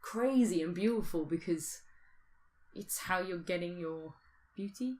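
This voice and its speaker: A woman's voice: fairly low pitch, a little slow, a little mellow. A woman podcast co-host in casual speculative discussion, thinking aloud and often posing questions.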